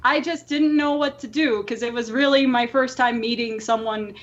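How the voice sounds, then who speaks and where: High-voiced woman chatting as podcast host. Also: steady tone, moderate pace, dull audio. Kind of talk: casual chat.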